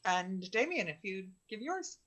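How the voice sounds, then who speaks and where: A woman's voice: medium pitch, sing-song, a little slow, a bit bright. A woman moderating a convention panel. She is friendly and a little hesitant.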